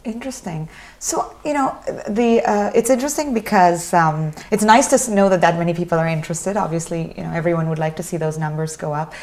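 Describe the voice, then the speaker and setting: A woman's voice: on the low side, a little fast, few pauses, a little mellow. A woman hosting a television panel discussion, asking thoughtful questions that hedge and restart with many fillers. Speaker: TV talk show host.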